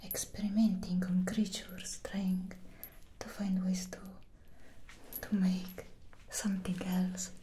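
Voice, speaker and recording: A woman playing a defiant, anguished captive vampire hunter in a soft-spoken ASMR roleplay, with a breathy whisper and halting, strained delivery. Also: lowish voice, flat delivery, a little hiss or hum. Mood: reluctant.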